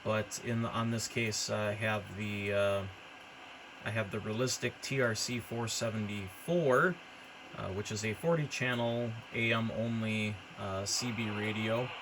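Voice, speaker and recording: Man explaining gear over clear background noise. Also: fairly low pitch, fairly even intonation, a little slow. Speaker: hobbyist presenter demonstrating radio gear. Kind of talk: informal product walkthrough.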